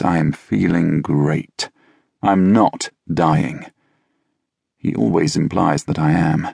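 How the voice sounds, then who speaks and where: Low husky guy narrating an audiobook, dry and deadpan, super close dry studio sound. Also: no room sound. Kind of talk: first-person scripted narration. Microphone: close studio mic.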